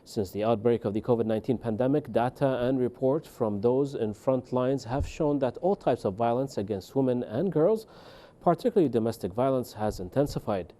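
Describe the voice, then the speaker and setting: A man's voice: medium pitch, brisk, warm tone. A man presenting television news, reading scripted introductions and putting formal questions to a guest. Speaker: TV news anchor and interviewer.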